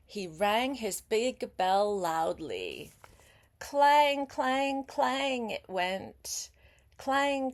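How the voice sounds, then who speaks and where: Older woman reading stories slowly and expressively. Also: mid-range voice. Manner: expressive, pauses to chat with the child listener. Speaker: grandmother reading a children's book aloud. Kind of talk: read-aloud storytelling for young children with questions to the listener.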